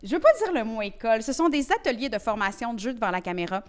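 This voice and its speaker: A woman's voice: a little high, very animated, quick pace. A woman with a Quebec French accent co-hosting a podcast, chatting and making announcements in an informal, playful way. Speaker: podcast co-host. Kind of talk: casual chat and announcements.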